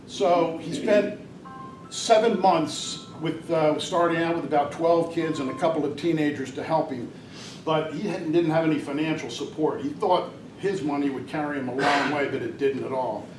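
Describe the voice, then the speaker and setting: An older man giving a rambling, hesitant talk at a club meeting, with many fillers. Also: a little high. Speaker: guest speaker at a Rotary club. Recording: distant mic in an echoey room, noisy.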